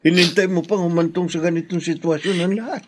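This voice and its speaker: A man's voice: high-pitched, fairly even intonation, quick pace. A man acting a stern, reproachful father in a Filipino radio drama.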